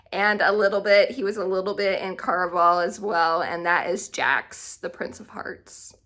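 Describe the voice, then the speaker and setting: A woman's voice: a little high, a bit bright. A woman talking informally as a vlogger, in a casual, chatty style, thinking aloud as she goes. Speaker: book vlogger. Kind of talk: casual, chatty book tag video.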